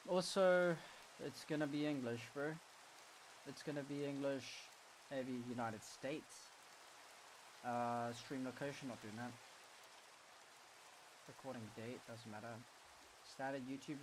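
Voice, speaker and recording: A man's voice: medium pitch, a little slow, long pauses, noisy background. Sniffly male streamer muttering to himself. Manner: mutters to himself while setting up, casual slang. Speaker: livestreamer. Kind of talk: casual live-stream chatter. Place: home room.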